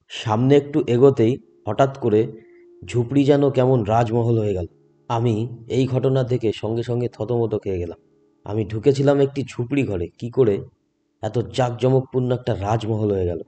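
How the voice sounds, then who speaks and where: Mellow man telling horror stories. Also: mid-range voice. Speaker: first-person narrator. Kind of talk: scripted horror story narration. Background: background music.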